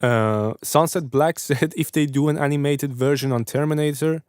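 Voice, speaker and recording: A man's voice: fairly high pitch, normal speed, a little mellow, smooth, dry recording, clean studio sound. A young man hosting a music review livestream, giving casual mixing feedback, swearing freely and stuttering now and then. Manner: laid-back, swears casually; stutters at times.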